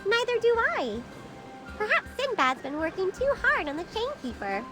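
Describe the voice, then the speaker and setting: Very high, lively young female apothecary. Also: moderate pace, loud music behind the voice, heavily acted. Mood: thoughtful, helpful. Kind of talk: voiced dialogue in a fantasy game.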